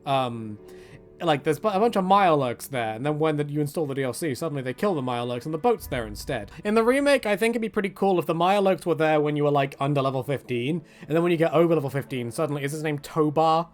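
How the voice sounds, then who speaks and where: A man's voice: on the high side, lively intonation, quick pace, faint music behind. A man giving casual, opinionated video game commentary in a rambling, joking, self-deprecating and slangy way. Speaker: gaming video creator.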